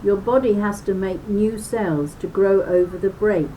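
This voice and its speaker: An older woman reading a children's booklet aloud in a deliberate, instructive way, with chatty personal asides. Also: dark tone. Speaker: reader of a children's health booklet. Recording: noisy home recording with low rumble.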